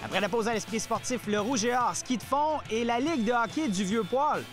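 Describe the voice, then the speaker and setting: A man hosting a sports news show, reading reports and interviewing with crisp, polished delivery. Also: very high-pitched, fairly quick, over loud music.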